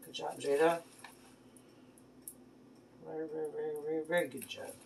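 A woman's voice: low-pitched, steady tone, normal speed, a little mellow, a little hiss or hum. A woman in her thirties chatting casually in a home kitchen as she cooks with her daughter, praising her often, recorded on a phone camera. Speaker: mom cooking with her daughter. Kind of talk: casual cooking vlog chat. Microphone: phone camera on the counter. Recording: clear clatter of dishes and pans.

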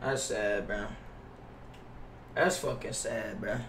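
Young man, game streamer, slangy and profane, bright forceful voice, off-mic echoey room. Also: lowish voice, takes it slowly, gravelly, distant mic. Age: young adult. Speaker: livestreamer playing a basketball video game. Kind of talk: casual live stream chat and gameplay reaction. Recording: roomy echo, off-mic, noisy with keyboard clicks.

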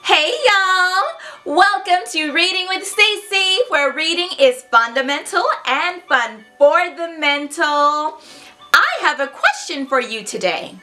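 A woman in her thirties hosting a children's read-aloud, with an expressive, clearly paced, teacherly storybook delivery. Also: high voice, takes it slowly, soft background music. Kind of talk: storybook narration to young children. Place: furnished room indoors.

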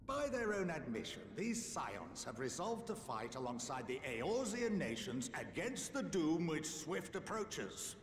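An actor playing an elderly academy head in a game, wry, formal and eloquent, impatient but fair-minded. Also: male voice, on the high side, sing-song, measured pace, few pauses, slight background noise. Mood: measured, persuasive. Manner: formal, eloquent, dryly exasperated. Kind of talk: video game cutscene acting. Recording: echoey hall reverb, music behind.

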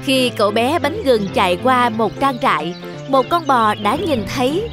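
A woman narrates a scripted story for children in a fairly high voice. Jingly children's music plays clearly behind her. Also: expressive, moderate pace.

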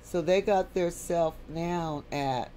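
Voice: medium pitch, slow, mellow, slight background noise. An American video blogger speaking over a screen recording in an unscripted lecture, repeating key points for emphasis. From United States. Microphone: voice-over, not recorded in the pictured place.

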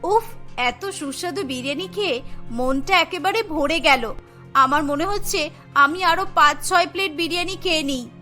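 A very high, bright schoolgirl voice , put on by a woman, cheeky and scheming. She wheedles brazenly like a cartoon kid, with music underneath. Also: normal speed. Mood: delighted. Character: cheeky, scheming schoolgirl crazy about biryani. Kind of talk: cartoon story acting.

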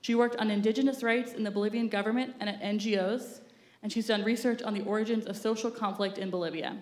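A woman's voice: medium pitch, steady tone, fast, darkish tone. Woman, host, formal reading at a podium, echoey theater. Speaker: event host introducing speakers. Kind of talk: reading introductions. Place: large theater stage at a podium. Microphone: podium mic. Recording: roomy theater sound.

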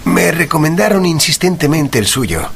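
An actor playing a shrewd, courteous and confident gentleman detective in a period mystery drama, articulate and composed. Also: on the high side, very animated, moderate pace, gravelly, slight background noise, full character voice. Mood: polite probing.

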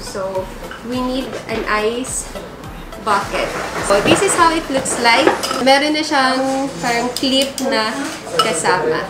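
A woman's voice: sits high, echoey room, noisy background. A young woman from the Philippines chatting through an unboxing vlog at home, mixing Tagalog and English. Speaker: vlogger. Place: living room at home.

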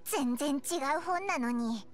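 A woman voicing a tiny, cheeky fairy companion in an anime game, squeaky, childlike, blunt and bouncy. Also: lively intonation, slow, over music. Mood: chiding. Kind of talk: Japanese anime game voice acting.

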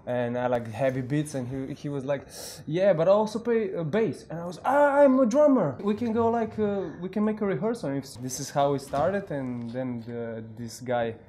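A man, a band's drummer, lively and acting out quoted dialogue in a group interview at a music venue. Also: on the high side, moderate pace, few pauses, a little hiss or hum. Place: empty music venue indoors.

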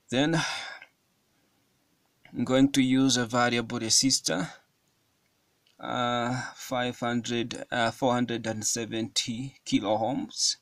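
A man giving a hands-on electronics tutorial at a table, explaining each step slowly with frequent fillers. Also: medium pitch, no echo. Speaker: electronics hobbyist demonstrating a circuit. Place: indoors at a wooden table.

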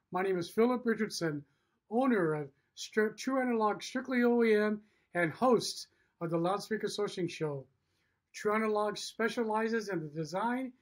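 A middle-aged man giving a promotional message to camera as a business owner and host, emphatic, in short punchy sentences. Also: sits high. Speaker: factory owner and show host. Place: indoor room with wood-block walls.

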